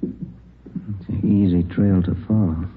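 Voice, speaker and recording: An American actor playing an easygoing police detective's partner in an old radio drama, with short, casual replies. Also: male voice, deep voice, fairly even intonation, takes it slowly. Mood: watchful. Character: easygoing, plain-spoken male detective's partner. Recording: muffled old radio broadcast, background hiss.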